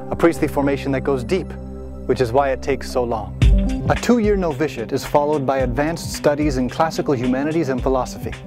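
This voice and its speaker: A man giving solemn, measured scripted narration for a promotional video. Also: mid-range voice. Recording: music underneath.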